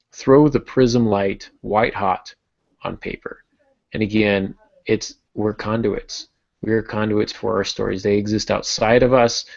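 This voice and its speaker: A man's voice: on the low side, creaky, a little mellow. A man hosting a casual podcast discussion about writing, recorded with a dull, roomy, lo-fi sound. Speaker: podcast host.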